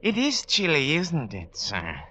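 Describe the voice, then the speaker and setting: An actor playing a creepy, servile butler, halting and eerily courteous, in 1940s radio style. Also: male voice, on the high side, wildly swooping pitch, sharp tone, heavily acted. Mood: eerily polite. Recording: noisy, dull old radio recording with clicks.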